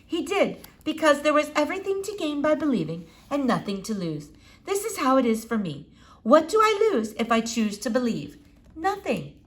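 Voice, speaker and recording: Woman playing a wistful old lady doctor, very animated. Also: sits high, moderate pace, pitched above the performer's own voice. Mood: earnest persuading. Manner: emphatic, quaint formal phrasing with repetitions. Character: elderly foreign woman doctor, wistful, philosophical storyteller.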